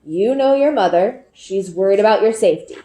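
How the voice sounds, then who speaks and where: A woman voicing a weary, gentle, cautious father in a children's storybook, with measured, deliberate delivery. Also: mid-range voice, animated, lightly acted. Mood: concerned. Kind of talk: children's storybook read-aloud. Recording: roomy indoor echo.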